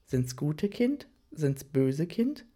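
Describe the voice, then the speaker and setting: A narrator voicing a cheerful male colleague who recites a Christmas poem slowly and evenly. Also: fairly high pitch, very animated, warm tone, full character voice. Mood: reciting.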